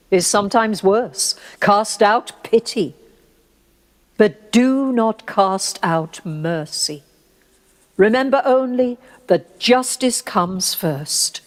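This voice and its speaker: A woman playing a grave, gently zealous medieval Inquisitor in a radio play, speaking in measured, solemn rhetoric. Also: mid-range voice. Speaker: actor. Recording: reverberant hall-like live recording from home.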